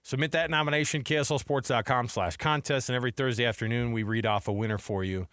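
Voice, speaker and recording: A male sports radio host with a mid-pitched voice, recorded dry and close in a studio. He talks in a loose, informal, conversational style, chatting to listeners and his co-host like buddies. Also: fairly even intonation, fast, fairly bright tone, dry recording. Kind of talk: casual sports talk radio.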